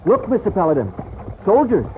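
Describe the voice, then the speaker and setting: An actor playing a polite, agreeable Mexican helper to a gunfighter in a 1950s radio western. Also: male voice, sits high, huge pitch swings, brisk. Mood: alert, uneasy. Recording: lo-fi old radio recording, faint noise.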